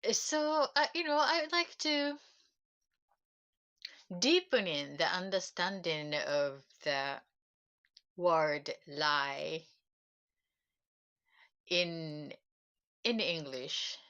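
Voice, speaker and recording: A woman with a Japanese accent, a native Japanese speaker, giving a reflective solo livestream talk in halting English, pausing to search for words. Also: fairly low pitch, pushed and bright, smooth, lo-fi sound. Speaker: self-help channel host.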